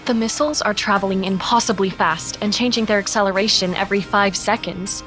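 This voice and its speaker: A woman giving steady, descriptive narration for a sci-fi audio drama. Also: mid-range voice, fairly quick. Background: music.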